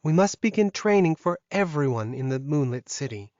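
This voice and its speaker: A man voicing an anxious young werewolf woman in an audiobook, soft, earnest and plaintive. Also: a little high, animated, moderate pace, dry recording, dull audio, voice pushed up, lightly acted. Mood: determined.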